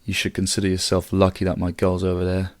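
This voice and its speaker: A woman's voice: a bass voice, monotone, fast, a little mellow, no room sound, clean studio sound, pitched below the performer's own voice. A woman voicing a menacing young male gang leader with a silky, threatening manner.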